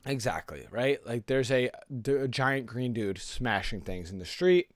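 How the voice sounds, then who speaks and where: A man's voice: medium pitch, big pitch swings, measured pace, a little hiss or hum. A man co-hosting a podcast in casual chat, loosely thinking aloud and often hesitating over his words. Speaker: podcast co-host.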